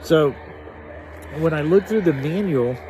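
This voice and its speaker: A man's voice: on the high side, expressive, measured pace, mellow, faint music behind. A man giving a casual, hands-on gadget demo, unscripted and musing aloud as he tinkers. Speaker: vlogger reviewing a radio.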